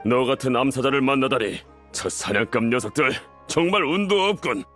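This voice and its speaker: A man's voice: mid-range voice, animated, brisk, fairly bright tone, soft background music, lightly acted. Man acting a blunt, cocky desert mercenary. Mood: cocky, scornful.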